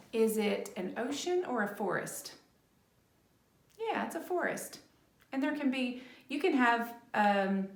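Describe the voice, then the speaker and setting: Female educator discussing a picture book with children; measured pace, frequent questions, echoey room. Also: medium pitch. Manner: rhetorical questions and tag questions. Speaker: speech-language pathologist reading to children. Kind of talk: children's lesson on a story.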